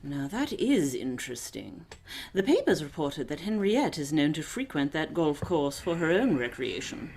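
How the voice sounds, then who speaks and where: A woman playing a brisk, self-assured lady detective with a knowing, commanding manner in a radio drama. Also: deep voice, sing-song, a little mellow, exaggerated voice.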